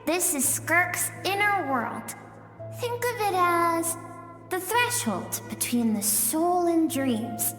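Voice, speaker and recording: A woman voicing a calm, mysterious young female spirit who dwells in another's inner world, speaking gently. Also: very high voice, slow pace, heavily acted. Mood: gentle. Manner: soft, gentle, reflective. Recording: echoey dreamlike reverb under music.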